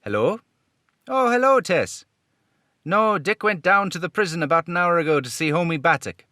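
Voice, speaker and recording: A man doing an excitable young woman from an old radio drama, voice raised high and swinging up and down as she stammers in alarm. The tone is bright and pointed, recorded close and dry. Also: moderate pace, dry recording. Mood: chatty. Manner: jumpy, flustered, stammering.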